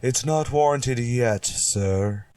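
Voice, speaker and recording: A man's voice: mid-range voice, slow, darkish tone, close to an everyday voice. A man playing a cautious, thoughtful ship's psychologist in a sci-fi radio drama, speaking hesitantly and deferentially. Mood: uneasy, careful.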